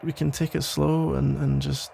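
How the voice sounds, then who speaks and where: Male actor for protective police officer ex, ASMR roleplay: very creaky, gravelly voice, tender, halting, soothing delivery over faint background noise. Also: on the high side, flat delivery, warm tone. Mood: tender, hopeful. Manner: hesitant, reassuring, halting phrases with pauses. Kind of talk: intimate ASMR roleplay, soft conversational acting.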